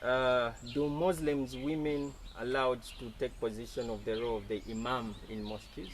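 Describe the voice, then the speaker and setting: A man's voice: medium pitch, steady tone, moderate pace, a little hiss or hum. A man with a Zambian accent hosting a talk show and putting questions to a guest. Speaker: talk show interviewer and host. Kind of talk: interview questioning.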